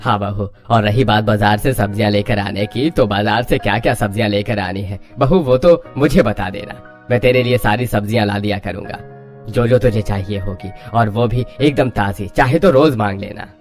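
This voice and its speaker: A man's voice: fairly low pitch, moderate pace, soft background music, natural voice. A man playing a kindly older father-in-law in a family story, homely and obliging.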